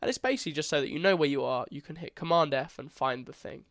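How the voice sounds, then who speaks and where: A young man with a stuffy, congested voice walks viewers through a beginner coding lesson in a loose, chatty way, often restarting his phrases. His voice sits a little high for a man, and the recording is dry and close with no room sound. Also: fast talker, a bit bright, dry recording. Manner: casual, chatty, self-correcting. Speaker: coding tutor. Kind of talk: screen-recorded coding tutorial.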